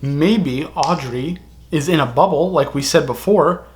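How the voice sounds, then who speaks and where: Halting, intense man talking as host. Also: on the high side. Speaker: video host. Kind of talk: casual fan review discussion.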